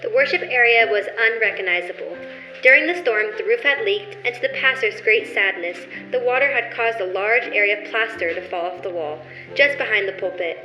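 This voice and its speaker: A woman's voice: mid-range voice, fairly quick, pushed and bright, faint music behind. A woman reading scripted narration for an old-style radio play. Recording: dull lo-fi recording.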